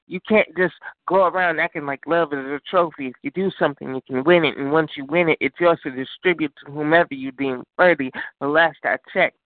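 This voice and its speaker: A man's voice: a little high, brisk, no room sound. A man calling in to recite a spoken word poem with rhythmic wordplay, heard over a phone line. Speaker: call-in poet. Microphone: phone call.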